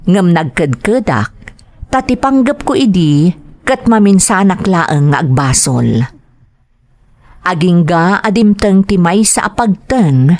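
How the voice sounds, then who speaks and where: A woman's voice: lowish voice, a little mellow. A woman acting an anguished young waitress daughter in an Ilocano drama, with an Ilocano accent and dramatic delivery. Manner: slow, dramatic delivery with tearful outbursts. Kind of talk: Ilocano radio-style drama acting and narration.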